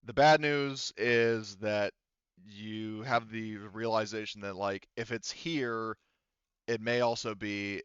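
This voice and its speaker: A man running a tabletop roleplaying game as game master, explaining things casually in a halting way. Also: on the low side, fairly even intonation, a bit bright, clean tone, dry recording, muffled recording.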